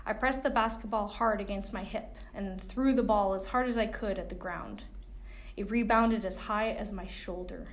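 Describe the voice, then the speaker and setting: Woman reading a novel aloud, mid pitch. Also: normal speed. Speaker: reader of a book excerpt. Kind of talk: first-person novel excerpt reading.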